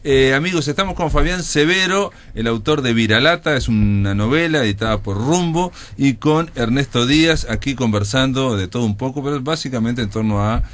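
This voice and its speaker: A man's voice: mid-range voice, moderate pace, few pauses, a bit bright. A man with a Uruguayan accent hosting a radio interview, talking in a chatty way with many fillers. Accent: Uruguayan Spanish. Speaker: radio host.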